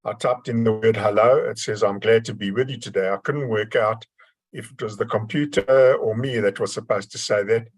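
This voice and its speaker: A man's voice: fairly low pitch, fairly even intonation, normal speed, breathy edge. A man hosting a webinar, introducing the speaker in a casual, hesitant way with a dry joke. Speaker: webinar host.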